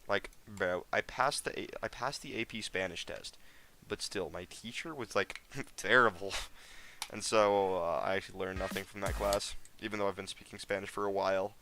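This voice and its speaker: A man's voice: on the low side, steady tone, moderate pace, bright, forceful tone, a little hiss or hum. A teenage American guy streaming a game, chatting casually with viewers in a rambling, slangy way. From United States. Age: teens. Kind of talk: casual live stream chat.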